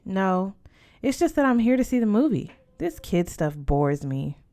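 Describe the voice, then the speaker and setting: A woman's voice: medium pitch, big pitch swings, unhurried, dark tone. A woman voicing a bored, aloof teenage sister in a storybook, dismissive in manner.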